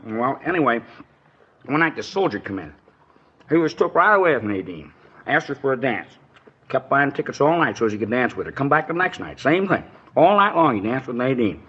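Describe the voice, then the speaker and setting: A man's voice: mid-range voice, very animated, a little fast, a bit bright, slightly rough. A man playing a chatty, nervous dance hall proprietor with rambling, slangy speech in an old radio drama. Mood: gossipy. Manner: rambling, folksy, ungrammatical slang. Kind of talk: 1950s radio drama acting, naturalistic. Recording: dull old radio recording.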